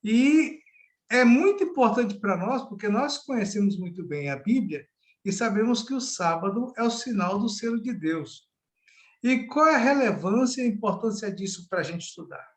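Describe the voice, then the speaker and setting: Chatty pastor on a call. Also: male voice, sits high, animated, moderate pace. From Salvador, Bahia, Brazil. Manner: chatty, often ends with a tag question. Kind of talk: informal Bible study talk. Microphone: video call.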